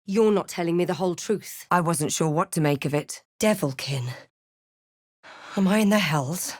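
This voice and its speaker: A woman playing a watchful, wry fantasy adventurer in a video game, recounting her journey in a measured storybook way with dry asides. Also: on the low side, dry recording, lightly acted. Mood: wary, wry. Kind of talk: video game character voice lines.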